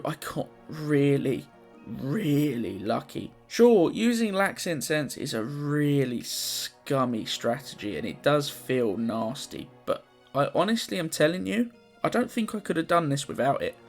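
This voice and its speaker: A young man, slightly hoarse after the flu, narrating a game challenge with jokey, self-deprecating commentary. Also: a little high. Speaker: gaming YouTuber.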